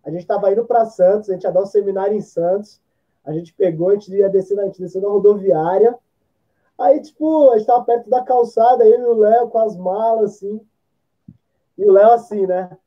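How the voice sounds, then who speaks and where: A man in his thirties co-hosting a casual video-call chat, easygoing and telling stories with slangy asides, from a home room. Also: high voice. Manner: relaxed storyteller, drawn-out slang asides. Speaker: podcast co-host. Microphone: laptop or earphone mic on a video call. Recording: dull lo-fi video call audio.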